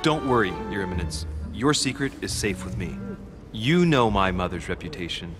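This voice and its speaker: A composed young gentleman in a cinematic adventure game. His voice sits fairly low, and his formal, deferential phrasing has a calculating smoothness. Background music is clearly present. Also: lively intonation, normal speed. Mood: persuasive, earnest. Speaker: actor in a narrative adventure game.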